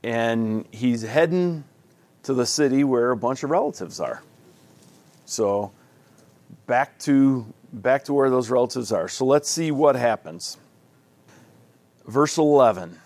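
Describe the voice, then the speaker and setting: A man's voice: medium pitch, measured pace, pauses often, darkish tone, no room sound. A man leading a Bible study in a casual, folksy, conversational way, often restarting his sentences.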